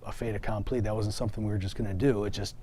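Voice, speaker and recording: A man's voice: fairly low pitch, little pitch movement, racing, mellow. A man explaining business and products in an interview as a company executive, hesitating and repeating words as he goes. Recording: faint background noise.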